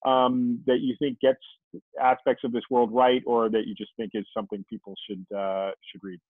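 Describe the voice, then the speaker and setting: A man's voice: mid-range voice, flat delivery, normal speed, dry recording, phone-quality sound. A man in his forties hosts a podcast interview from a room lined with bookshelves. His questions come out friendly and rambling, full of restarts. His voice reaches the listener dry and phone-thin over the call. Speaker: podcast host. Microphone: video call.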